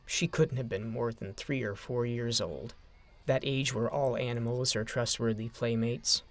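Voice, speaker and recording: A man's voice: medium pitch, steady tone, measured pace. A man narrating a scripted story as an audio drama narrator.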